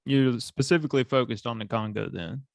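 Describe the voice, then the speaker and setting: A man's voice: mid-range voice, brisk, gravelly, dry recording, studio quality. A man hosting a coffee podcast, asking curious questions in a laid-back, slangy way. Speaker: podcast host. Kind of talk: casual interview.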